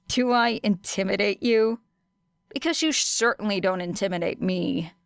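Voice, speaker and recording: Unimpressed teen girl, voiced by a female narrator: deadpan, cutting, smooth-voiced, audiobook style. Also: medium pitch, normal speed, no echo, clean studio sound, close to an everyday voice. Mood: dry scorn. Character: dry, scornful, unimpressed teenage girl.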